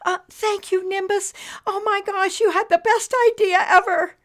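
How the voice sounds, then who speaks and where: A woman voicing a friendly, curious female painter in a children's story, expressive and full of exclamation. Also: very high-pitched, moderate pace, voice pushed up. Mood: excited, concerned.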